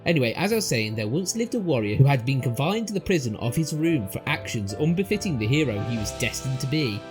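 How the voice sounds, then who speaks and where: A man's voice: medium pitch, sing-song, quick pace, music in the background. A man reading a scripted storybook tale as narrator, in a theatrical, mock-epic manner.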